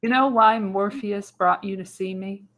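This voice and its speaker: A woman's voice: mid-range voice, a little slow, a bit bright, natural voice. Radio play role: older female seer, folksy, wry, knowing, acted by a woman at a table read.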